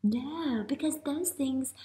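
A woman in her thirties, a teacher reading a picture book aloud to young children and asking them questions with drawn-out emphasis. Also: high voice, mellow. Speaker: elementary school teacher. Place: small classroom-like room, indoors. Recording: roomy indoor echo.

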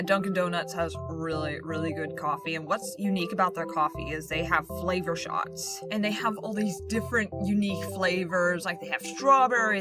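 A young woman with a Pittsburgh accent and a speech impediment, telling a rambling storytime in casual chat. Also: on the low side. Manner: rambling, chatty, with little laughs and asides. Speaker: YouTuber. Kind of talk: casual storytime over speedpaint. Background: background music.

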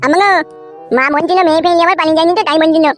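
A woman voicing a cheeky, foul-mouthed little village boy in a comedy cartoon, with a rural West Bengal accent and a pitched-up voice. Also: steady tone, very fast, soft background music. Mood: tattling. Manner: brash, mouthy.

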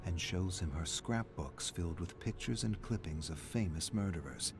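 A man's voice: low voice, expressive, normal speed. A man reading a scripted, dramatic story narration as the narrator. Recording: background noise under game narration.

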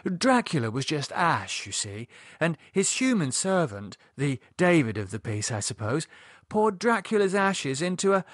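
A man's voice: high-pitched, fairly bright tone, dry recording, clean studio sound, pitched above the performer's own voice, heavily acted. A man voicing a haughty, sensual woman with a macabre streak, arch and sardonic, with a British accent, in an audiobook. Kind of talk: audiobook character dialogue.

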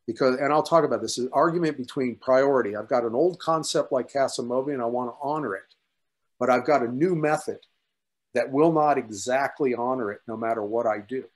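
A man's voice: medium pitch, fairly quick. Older man talking shop, emphatic and conversational. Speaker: paleontologist at a workshop. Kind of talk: informal academic discussion. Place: indoors, on a video call. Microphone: video call computer mic.

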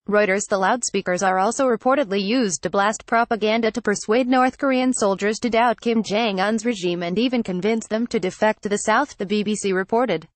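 A woman reading a scripted news report in an even, flat delivery. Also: medium pitch, no room sound. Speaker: news reader.

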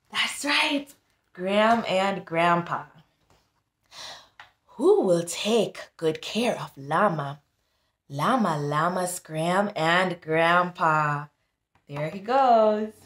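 A woman tutor reads a picture book to small children in an echoey room. Her voice is on the low side and swings expressively in pitch. She goes very slowly and breaks off to explain things directly to her young listeners. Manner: animated, instructive, addressing young children directly. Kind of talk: children's read-aloud with teaching asides.

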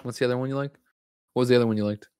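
Male podcast host, fast, wry, emphatic, dry close sound, desk mic at home. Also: medium pitch, steady tone, warm tone, smooth, no room sound. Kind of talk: casual podcast banter.

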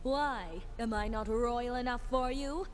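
A woman's voice: a little high, measured pace, lightly acted. A woman voicing a proud, vengeful female pony villainess in a fan animation, speaking in a grand, taunting manner. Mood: regal, wounded.

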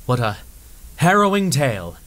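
An actor playing a genial old-time radio show host with a measured, announcer-like manner. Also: male voice, medium pitch, very animated, a bit bright. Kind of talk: 1930s radio announcer acting.

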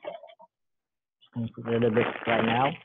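A man's voice: medium pitch, measured pace, gravelly, noisy background. A man in his thirties casually walking through a recipe, rambling and correcting himself as he works. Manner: relaxed, rambling, self-correcting while working with his hands. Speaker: guest demonstrating a recipe. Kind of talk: casual cooking demo. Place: large bare room with a counter, indoors. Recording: thin phone-like call audio.

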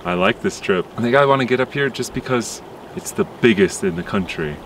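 A man's voice: medium pitch, lively intonation, a little fast, noisy background. A man in his thirties chatting casually as a vlogger, recorded outdoors on a camera at arm's length. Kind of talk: casual chat while hiking. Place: outdoors on a rocky mountain trail. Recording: music over outdoor camera sound.

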